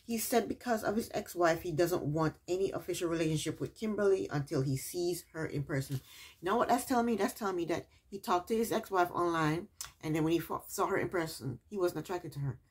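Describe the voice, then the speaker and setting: A woman's voice: on the low side, brisk, husky. A woman recapping a reality TV episode in a casual, chatty way, with sarcastic asides and repeated punchlines.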